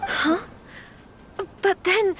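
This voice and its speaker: A woman playing a gentle, troubled young noblewoman in a video game, polite, hesitant and earnest. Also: very high voice, extremely slow, slight background noise, exaggerated voice. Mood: surprised, unsure.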